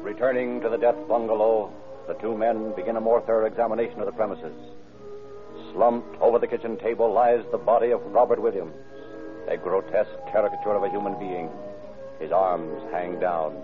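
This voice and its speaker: An actor playing a grave, florid narrator of a vintage radio crime drama, delivering scripted narration dramatically. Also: male voice, fairly low pitch, close to an everyday voice. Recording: tinny old radio recording. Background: dramatic music.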